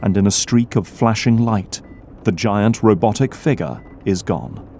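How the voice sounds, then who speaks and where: A man's voice: on the low side, measured pace, background noise. A man delivering dramatic scripted narration as the narrator of an audio drama.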